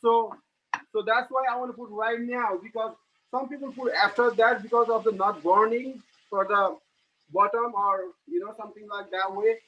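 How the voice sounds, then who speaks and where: High-voiced Nepali man talking through cooking. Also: steady tone, highs cut off. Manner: halting, plain English, narrating each step as he cooks. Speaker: home cook giving a cooking demo. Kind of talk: live cooking demonstration.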